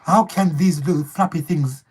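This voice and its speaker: A man whose voice has gone hoarse and strained since surgery left one vocal cord not working, chatting casually on a live stream and telling stories to viewers. Talking hurts him. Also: a little high, normal speed. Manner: relaxed storytelling, addresses viewers as guys. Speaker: co-host of family channel.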